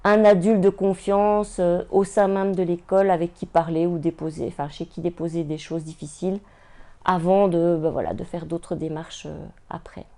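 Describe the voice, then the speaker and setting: A woman's voice: on the low side, flat delivery, moderate pace, mellow. A woman giving an unscripted interview answer, tentative and rephrasing as she goes.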